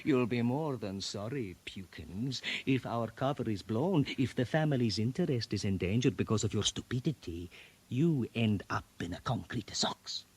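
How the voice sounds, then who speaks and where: A man's voice: medium pitch, animated, moderate pace, a little mellow, breathy edge. A man playing a bullying, hot-tempered Italian crime boss with an Italian accent in a comic radio drama, domineering and menacing. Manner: domineering, menacing, mixes Italian into his speech. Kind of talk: radio comedy drama acting.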